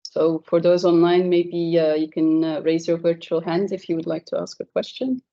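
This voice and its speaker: A woman's voice: lowish voice, fairly even intonation, normal speed, warm tone. A woman moderating a seminar, inviting questions politely with a few fillers. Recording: muffled lo-fi recording.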